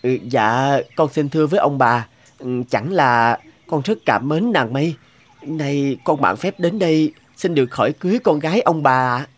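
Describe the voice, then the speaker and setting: A man's voice: fairly high pitch, animated, normal speed, close to an everyday voice. Man acting a humble, earnest young woodcutter. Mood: nervous, pleading. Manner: deferential, hesitant, pleading. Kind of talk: Vietnamese fairy-tale cartoon dubbing.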